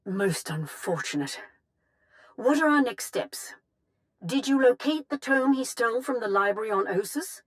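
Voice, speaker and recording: An actress playing a poised, imperious Sith Empress in a video game, speaking formally and coolly. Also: female voice, fairly high pitch, animated, a little slow. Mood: cool concern. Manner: formal, regal, cool. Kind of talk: dramatic video game voice acting.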